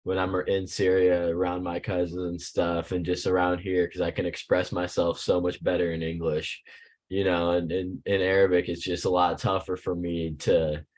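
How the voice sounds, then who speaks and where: Very low, flat-voiced young man. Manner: low-key, halting, searching for words. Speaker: student. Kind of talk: class discussion.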